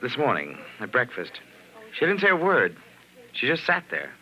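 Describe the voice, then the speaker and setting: An actor playing an evasive, tense husband torn between two women, brusque and dramatic in 1940s radio style. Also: male voice, mid-range voice, wildly swooping pitch, moderate pace, sharp tone, muffled recording, heavily acted. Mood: uneasy. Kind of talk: 1940s radio melodrama acting.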